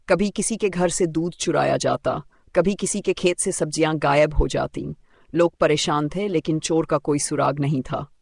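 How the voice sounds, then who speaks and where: A man with a fairly high voice and a marked gravelly creak narrates a folk tale. His delivery is deliberate and builds suspense, as scripted dramatic storytelling. The sound is dry and close. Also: dry recording.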